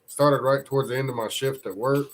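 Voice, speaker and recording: A man's voice: mid-range voice, steady tone, brisk. A congested man hosting a casual live news stream, rambling loosely and greeting viewers from the chat. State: congested from a cold.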